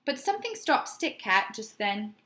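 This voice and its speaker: A woman's voice: mid-range voice, very animated, normal speed, fairly bright tone. A woman reading a children's storybook aloud expressively, like a teacher putting questions to young listeners.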